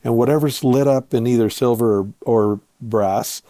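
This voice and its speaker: A man being interviewed as a guest, explaining his work step by step in short, measured phrases. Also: medium pitch, dark tone, dry recording. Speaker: interview guest, winery owner and viticulturist.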